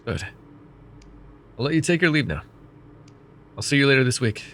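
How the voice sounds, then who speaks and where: A man voicing a vain, wry, middle-aged male mage who counsels a student in an ASMR roleplay, speaking in a calm, measured and gently teasing way. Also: medium pitch, slight background noise, lightly acted. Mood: calm reassuring. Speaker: voice actor.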